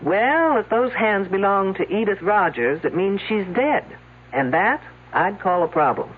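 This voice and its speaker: An actress playing a brisk woman police detective in a 1970s radio mystery, questioning in a clipped, wry way with a husky voice. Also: mid-range voice, lively intonation. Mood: businesslike, wry. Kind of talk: 1970s radio drama acting. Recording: tinny, narrow-band old radio broadcast.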